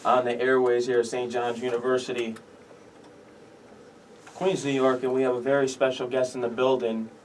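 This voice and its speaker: A young man hosts an interview on college radio, asking questions in a medium-pitched voice. He sounds slightly back from the microphone in a small studio, with a light layer of noise behind him. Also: flat delivery, normal speed, pauses often, recorded from a distance, rough recording. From Connecticut. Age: young adult. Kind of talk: interview hosting. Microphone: boom-arm studio microphone.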